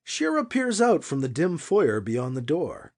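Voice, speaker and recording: A man's voice: fairly high pitch, wildly swooping pitch, a little slow, a little mellow. A man reading fiction aloud as an audiobook narrator, in a dramatic scripted storytelling style.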